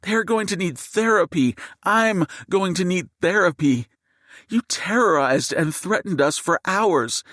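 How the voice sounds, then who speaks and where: A man voicing a fierce, furious mother who shouts and seethes at a gunman, in an audiobook. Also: sits high, lively intonation, measured pace, no echo, studio quality, voice pushed up. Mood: furious, defiant.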